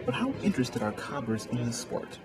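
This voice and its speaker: A man's voice: mid-range voice, sing-song, brisk, music in the background. A man reporting for college radio, delivering a scripted news piece.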